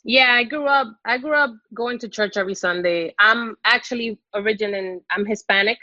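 A woman from the Dominican Republic speaks in a halting, searching way, often restarting her sentences. Her voice sits at an ordinary pitch and sounds dry and a little dull, as if from a modest recording. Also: bright, forceful tone, dry recording. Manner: hesitant, many fillers and restarts. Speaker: guest exploring Islam. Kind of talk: hesitant personal conversation.

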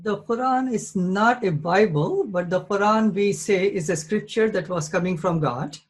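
A man hosting a conversation, speaking in a measured way and explaining ideas with simple examples. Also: high voice, husky. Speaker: host, Islamic outreach. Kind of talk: calm explanatory interview.

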